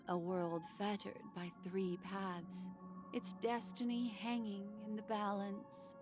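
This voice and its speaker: A mysterious female storyteller, voiced by an actress narrates an epic game trailer. She speaks slowly and solemnly at a medium pitch, in grand formal phrases, with music clearly behind her. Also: natural voice. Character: solemn, mysterious storyteller woman describing distant worlds.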